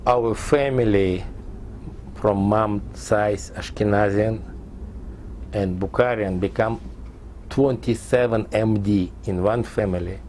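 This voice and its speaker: A man in his sixties from Tajikistan, a Persian speaker, recounting his life in halting, fragmented English in an oral history interview. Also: lowish voice, steady tone, a little mellow, slight background noise. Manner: halting non-native English in short fragments.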